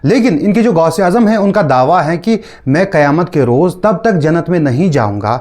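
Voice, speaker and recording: A man's voice: on the high side, lively intonation, normal speed, a little mellow. A man hosting a commentary video in a casual, teasing and sarcastic way. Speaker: host of a video commentary channel. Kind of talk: casual mocking commentary on preacher clips.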